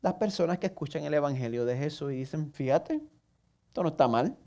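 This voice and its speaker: A man's voice: fairly high pitch, expressive, fairly quick, warm tone. A man with a Puerto Rican accent, preaching a sermon in an earnest way and putting questions straight to the listener. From Puerto Rico. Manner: self-mocking humor. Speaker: pastor.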